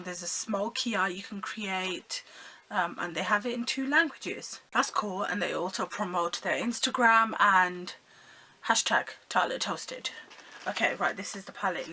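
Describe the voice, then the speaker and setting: A young woman, hoarse and croaky from a sore throat, chatting excitedly to her viewers while unboxing makeup. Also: medium pitch, slight background noise. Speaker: beauty vlogger. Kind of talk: casual makeup unboxing vlog.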